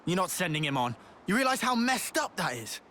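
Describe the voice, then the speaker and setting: A man playing a brash, blunt young male fighter in a video game cutscene, outspoken and forceful. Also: high voice, big pitch swings, fairly quick, a little hiss or hum, full character voice. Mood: indignant.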